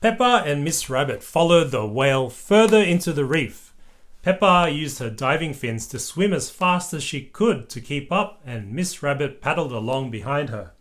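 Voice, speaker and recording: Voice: mid-range voice, expressive, moderate pace. An adult reading a children's picture book aloud, chatting and prompting a small child with simple questions.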